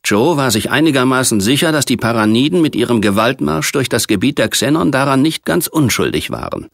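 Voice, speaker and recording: A man narrating a science fiction novel as an audiobook, reading in a measured, precise literary manner. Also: medium pitch, animated, vocal fry, no room sound, clean studio sound.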